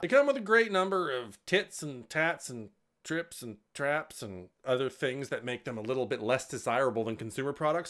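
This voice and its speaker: A man hosting a tech video, talking casually and jokingly as he explains hardware tips, swearing now and then and getting louder on each new tip, recorded indoors on a camera mic while he holds up parts. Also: mid-range voice. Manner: jokey, swears casually, lively bursts on tip headings. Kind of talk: casual instructional talk.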